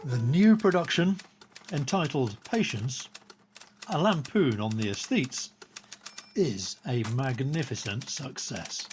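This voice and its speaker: A woman acting a florid Victorian newspaper reporter, reading copy aloud in a grandiose, measured radio-play style. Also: very deep voice, sing-song, darkish tone, faint music behind, full character voice. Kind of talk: declaimed radio play narration. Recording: clattering typewriter noise behind voice. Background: typewriter typing.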